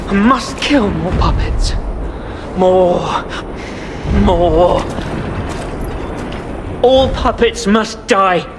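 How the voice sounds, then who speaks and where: A man playing a deranged, grieving avenger in a video game, muttering and repeating himself in a haunted way. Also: high-pitched. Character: deranged grieving avenger, obsessed with killing puppets. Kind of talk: video game character acting. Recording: loud mechanical background noise.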